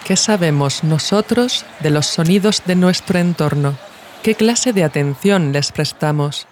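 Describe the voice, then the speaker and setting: A woman's voice: lowish voice, a little slow. Woman narrating a documentary, noisy ambient backdrop. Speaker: podcast narrator and host.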